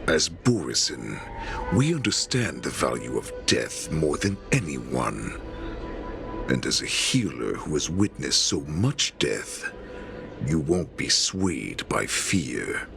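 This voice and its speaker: An actor playing a savage, proud wolf-like warlord in a video game, growling with menace and contempt. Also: male voice, big pitch swings, slow, heavily acted. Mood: menacing contempt. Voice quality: deep gravelly growl. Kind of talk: theatrical video game villain acting. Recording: dramatic music behind. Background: music.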